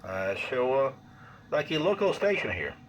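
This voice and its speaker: A man with a Polish accent chatting casually as an amateur radio operator, speaking haltingly and searching for words. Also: mid-range voice, very animated, muffled recording. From Poland. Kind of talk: casual radio contact chat. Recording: noisy unplanned handheld phone recording.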